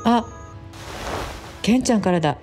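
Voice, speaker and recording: A woman playing a chatty, teasing female office worker in a radio drama, expressive and gossipy. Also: mid-range voice, moderate pace, music in the background, lightly acted.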